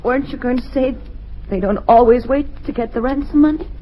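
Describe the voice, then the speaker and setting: An anxious young wife, voiced by a woman speaks earnestly in a high voice. The old radio recording is dull and muffled, with a faint hiss behind her. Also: fairly quick, natural voice. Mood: worried. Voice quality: worried, earnest. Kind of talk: 1940s radio acting.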